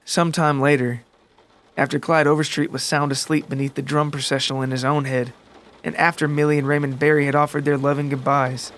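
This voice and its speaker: A man's voice: on the high side, a bit bright, slight background noise. A man hosting and narrating his own scripted storytelling podcast. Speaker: podcast writer, narrator and host. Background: guitar music and rain.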